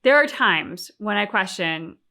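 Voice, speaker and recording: A woman's voice: medium pitch, lively intonation, measured pace, creaky, pushed and bright. A woman co-hosting a game reaction channel, talking through her opinions casually and emphatically. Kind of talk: casual discussion and commentary.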